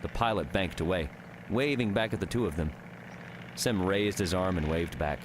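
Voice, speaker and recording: A man's voice: low-pitched, normal speed, noisy background. A man reading scripted fiction narration as an audiobook narrator. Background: music.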